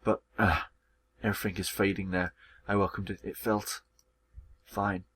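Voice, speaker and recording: A man's voice: deep voice, flat delivery, normal speed, fairly bright tone, husky. A man playing an anguished, hot-tempered male visual novel protagonist who tells his own story dramatically. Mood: pained, fading. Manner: dramatic first-person storytelling. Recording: music behind the voice.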